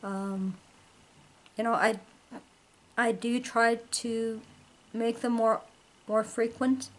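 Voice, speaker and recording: A woman chatting casually about her record collection, rambling with many ums, her voice hoarse and tired late at night. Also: on the high side, steady tone, slow pace. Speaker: vinyl collector vlogger. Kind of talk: casual show-and-tell vlog.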